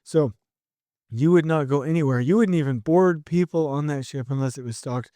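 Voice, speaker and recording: Man, middle-aged, sore scratchy throat, enthusiastic rambling talk, slightly high voice, dry recording. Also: normal speed, no room sound. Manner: energetic, rambling, many restarts. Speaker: podcast host. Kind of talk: solo podcast monologue.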